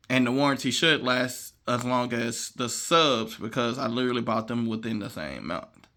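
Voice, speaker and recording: A man's voice: medium pitch, pushed and bright. A man with an American accent chatting in a laid-back, rambling, slangy way like a vlogger showing off gear. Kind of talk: casual unboxing vlog chat.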